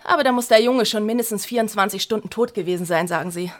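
An actress playing a chatty physiotherapist who shares gossip, in a radio play. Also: female voice, medium pitch, quick pace, natural voice. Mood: gossipy.

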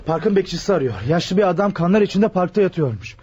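A male actor playing a dutiful junior police officer in a radio play, reporting briskly and obediently. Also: high-pitched, expressive, mellow, slightly rough. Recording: muffled lo-fi radio drama recording.

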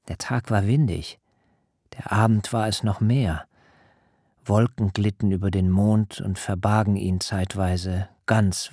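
Brief: an earnest young groom, husky and unhurried, dry close sound, radio play. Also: male voice, fairly low pitch, a little mellow, no echo. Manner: slow, measured and reflective. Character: young male bridegroom recalling his wedding, earnest. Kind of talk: German radio drama acting.

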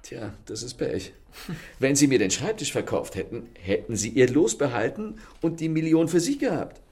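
An actor playing a self-assured, courteous and mocking gentleman thief in a German radio play, with polished theatrical manners. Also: medium pitch, animated, moderate pace, lightly acted. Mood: leisurely explaining.

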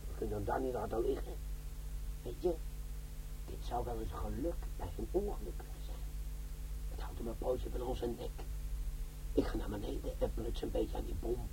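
An actor playing a brash, wry older bomb disposal sergeant in a comic crime radio play, sardonic and fond of lecturing. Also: male voice, mid-range voice, moderate pace, gravelly, long pauses, background noise, natural voice. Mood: dry, scheming.

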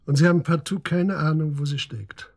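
Radio drama casting: probing detective, breathy rough edge, lightish pitch, persistent and businesslike. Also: male voice, fairly even intonation, a little fast. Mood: probing, sceptical. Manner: matter-of-fact, probing, insistent. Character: persistent, matter-of-fact male police detective interrogating a suspect. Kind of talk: naturalistic German radio drama acting.

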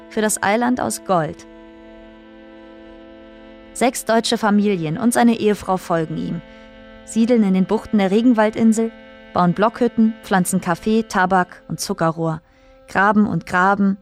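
A woman's voice: medium pitch, darkish tone, natural voice. A woman reading scripted literary narration as the storyteller of a radio play. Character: female storyteller narrating island histories.

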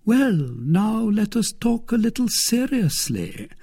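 A man voicing a proud, seductive and vindictive noblewoman in an audiobook of a classic novel, speaking in a deliberate, theatrical way. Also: sits high, sing-song, vocal fry, dark tone, husky, pitched above the performer's own voice, exaggerated voice. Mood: coaxing.

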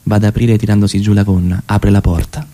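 A man's voice: on the low side, fairly even intonation, a little fast, mellow. A man narrating a radio play, reading scripted stage directions.

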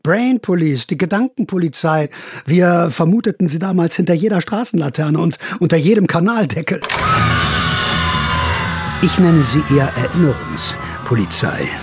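A man's voice: a little high, animated, moderate pace, a little mellow, soft background music. An actor playing a sly older counterculture veteran who narrates his memories in a radio drama, confiding, wry and theatrical. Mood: conspiratorial, theatrical.

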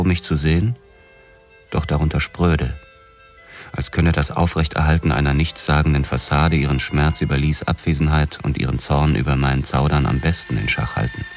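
An actor playing a brooding, obsessed police detective, narrating his own noir story in a measured, sombre manner. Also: rumbling low. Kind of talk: scripted noir first-person narration.

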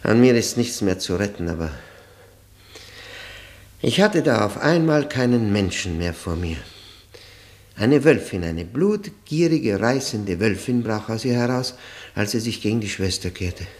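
An actor playing a tormented, bitter man confessing his ruined marriage in a brooding, halting monologue, in the style of 1960 radio drama. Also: fairly low pitch, animated. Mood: agitated, accusing.

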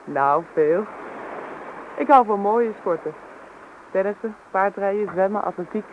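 A woman's voice: mid-range voice, a little slow, natural voice. A woman playing a playful, down-to-earth young woman in a Dutch radio play, with a wry and informal manner. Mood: wry, teasing. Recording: noisy tinny old radio recording.

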